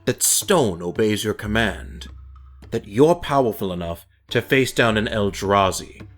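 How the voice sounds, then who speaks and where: Fantasy audio drama: male actor as learned, eager elderly cleric, low register, over music. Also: expressive, measured pace, fairly bright tone. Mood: eager, intrigued.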